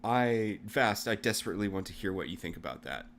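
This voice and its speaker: Easygoing man with a low voice. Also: lively intonation, brisk, a little hiss or hum. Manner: rambling, self-deprecating, easygoing. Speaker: podcast host. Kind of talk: casual chat.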